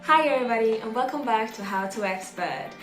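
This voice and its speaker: A woman's voice: on the high side, fairly quick, over music. Woman, channel host, casual explanation, roomy echo, distant mic. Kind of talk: casual video introduction.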